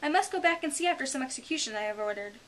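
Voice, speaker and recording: Woman playing an imperious queen, high and forceful. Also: expressive, very fast. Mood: commanding. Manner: commanding, peremptory. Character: savage, imperious, shouting queen. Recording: hissy home recording.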